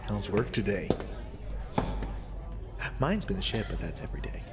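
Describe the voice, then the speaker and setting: A man's voice: lowish voice, big pitch swings, measured pace, gravelly, over loud music, exaggerated voice. A man acting a cynical, foul-mouthed janitor in an audio drama, griping casually to a buddy. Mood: casual, grumbling. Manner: casual griping, heavy swearing.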